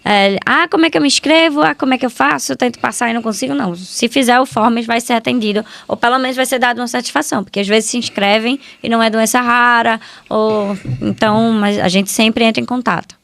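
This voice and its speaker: A woman's voice: on the high side, fast talker, vocal fry, few pauses, a bit bright. A Brazilian woman, a doctor appearing as a podcast guest, explaining things casually and often restarting her phrases.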